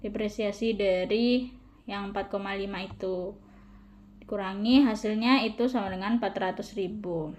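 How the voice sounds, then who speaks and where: A woman's voice: mid-range voice, fairly even intonation, normal speed, a little mellow. A young adult woman, a student presenter explaining a worked calculation informally, step by step.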